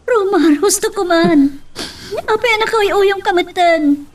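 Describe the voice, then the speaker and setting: A woman's voice: very high-pitched, measured pace, far from the mic. A woman playing a hurt, defiant young pregnant woman in an Ilocano love drama. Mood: pleading. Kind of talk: Ilocano radio-style love drama acting.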